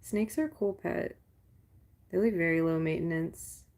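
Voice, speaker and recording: A young adult woman with a deep, gravelly low voice chats with her livestream viewers. She rambles, swears casually and fills her talk with "like" while telling stories. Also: unhurried, darkish tone. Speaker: livestream host.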